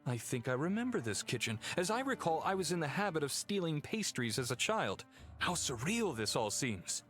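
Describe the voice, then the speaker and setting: An actor playing an earnest, troubled young gentleman heir, reflective and formal, in a video game. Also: fairly high pitch, very animated, normal speed, soft background music. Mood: somber, reflective. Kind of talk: video game cutscene voice acting.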